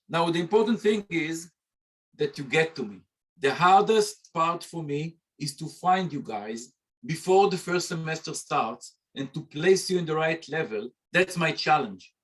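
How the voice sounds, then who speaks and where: Male Hebrew instructor, slightly high voice, playful, chatty, talking on a video call. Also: slightly rough, poor audio. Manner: joking, conversational, talks straight to students. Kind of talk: informal presentation on a video call.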